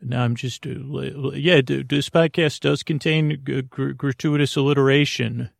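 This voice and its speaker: Male bedtime podcast host, gravelly mid-pitched voice, rambling and halting, absurdly whimsical, recorded dry. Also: steady tone, no room sound. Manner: meandering, halting, repeats and trails off mid-sentence; absurd, whimsical, wordplay-laden. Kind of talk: rambling bedtime monologue with an imaginary companion.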